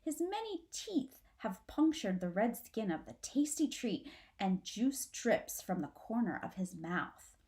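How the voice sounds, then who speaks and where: A woman's voice: on the high side, sing-song, unhurried, hardly any pauses, warm tone. A middle-aged woman reads a children's storybook aloud like a teacher, expressively, as if to young listeners. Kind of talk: storybook read-aloud narration. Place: small furnished room indoors at home.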